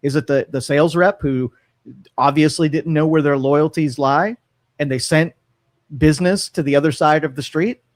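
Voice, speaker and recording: Guy on talk radio, bit high voice, thinks out loud, dry studio sound. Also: moderate pace, dry recording. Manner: musing, with frequent fillers and restarts. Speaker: radio talk show co-host. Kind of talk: casual opinion talk.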